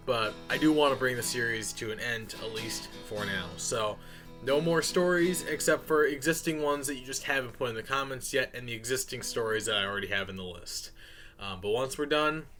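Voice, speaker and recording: A man's voice: medium pitch, a bit bright. A man giving a casual, unscripted update as a channel host, talking informally in a folksy way and addressing viewers as y'all.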